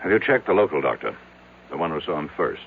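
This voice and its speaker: A man's voice: a bass voice, very animated, quick pace, creaky, slight background noise, exaggerated voice. An actor in an old-time radio drama playing a skeptical, domineering rich businessman who questions curtly and gives orders. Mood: probing. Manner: curt, commanding, interrogating. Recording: tinny, band-limited old radio broadcast.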